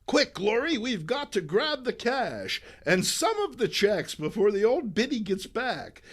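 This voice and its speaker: Man acting as woman crook, very high-pitched, conspiratorial, urgent, scheming, comic book drama. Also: very animated, a little slow, fairly bright tone, full character voice. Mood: scheming urgency. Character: scheming female crook giving urgent orders.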